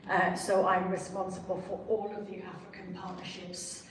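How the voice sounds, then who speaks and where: Woman professor giving formal talk, flat pitch, really echoey room with noise behind. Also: moderate pace. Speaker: law professor and university dean, guest speaker. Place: echoey event hall. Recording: very echoey, distant mic, clearly noisy.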